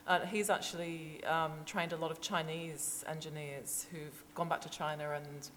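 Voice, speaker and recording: A woman's voice: low voice, fairly even intonation, moderate pace, fairly bright tone. A woman author on a discussion panel, speaking hesitantly with many fillers. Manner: hesitant, many ums and restarts. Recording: long hall reverb, faint background noise.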